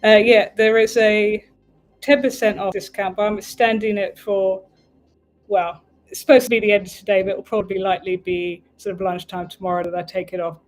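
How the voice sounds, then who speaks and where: A woman with an English accent chats casually on a livestream as a tarot reader, her voice sitting at an ordinary middle pitch. She talks in a loose, meandering way and keeps pausing to fix things on her stream, with faint music drifting in behind her. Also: level tone, rough recording. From United Kingdom. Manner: chatty, meandering, often breaks off to fix the stream.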